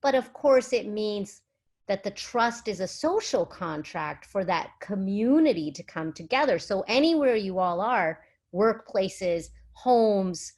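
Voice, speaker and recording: A woman's voice: medium pitch, animated, measured pace, a little mellow. Middle-aged woman presenting, articulate and emphatic. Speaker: physician and guest speaker. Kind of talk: virtual summit presentation, conversational.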